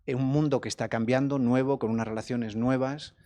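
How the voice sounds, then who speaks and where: A man, an actor, giving measured, reflective answers in a festival press interview. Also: mid-range voice, steady tone, dark tone. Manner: measured, reflective, explains at length.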